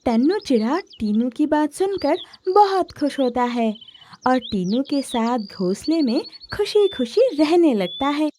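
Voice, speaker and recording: A woman narrates a children's story in a high, mellow voice. Her intonation is lively, and faint noise with bird chirps sits behind her. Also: slow pace.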